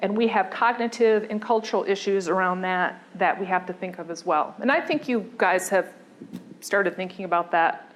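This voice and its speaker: A woman giving a conversational design lecture, pausing often with fillers and restarting her sentences. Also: mid-range voice, a little hiss or hum.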